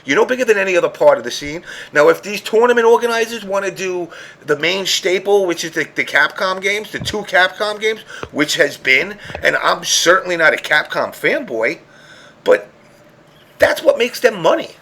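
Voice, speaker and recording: A man's voice: lively intonation, normal speed, sharp tone. A man co-hosting a podcast, arguing his point in an emphatic, heated casual debate. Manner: emphatic, argumentative, rising to high pitch when pressing a point. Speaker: podcast co-host.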